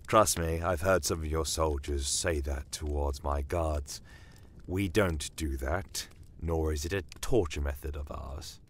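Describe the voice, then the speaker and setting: A man voicing a shrewd, courteous non-human commander in a fantasy audio drama, measured and politely menacing. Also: rumbling low, slight background noise, voice pushed down. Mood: calm, assured.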